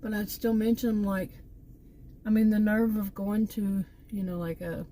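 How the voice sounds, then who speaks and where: A woman's voice: mid-range voice, steady tone, normal speed, warm tone, a little hiss or hum, rough recording. A middle-aged American woman chatting casually and digressively, often trailing off and circling back to her point. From Texas, United States. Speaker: vlogger. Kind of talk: casual rambling chit-chat. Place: outdoors near a park.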